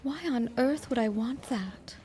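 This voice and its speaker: A woman's voice: a little high, unhurried, dark tone, a little hiss or hum, close to an everyday voice. A woman acting a brusque, flirtatious and world-weary secretary in a 1910s period audio drama, with curt, slangy, sardonic delivery. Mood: irritated, sardonic.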